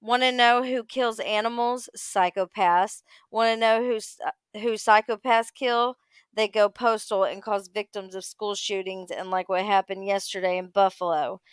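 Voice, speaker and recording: A woman's voice: medium pitch, fairly even intonation, normal speed, smooth, dry recording. A woman on a casual livestream panel, talking and reading posts aloud.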